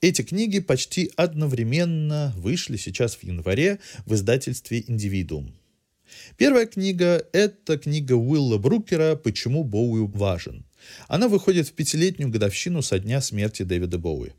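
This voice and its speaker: A man presents a cultural review as a podcast host. His voice sits at a middling pitch and moves in very animated, varied intonation. The phrasing is erudite and literary, following a loosely scripted narration. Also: normal speed.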